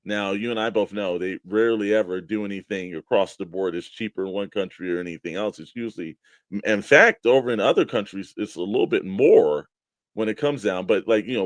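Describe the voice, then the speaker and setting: A man's voice: fairly low pitch, a little fast, no room sound. A man with an American accent co-hosting a toy collectors' show in casual, bantering talk. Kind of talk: casual banter, sponsor reads and mock weather report.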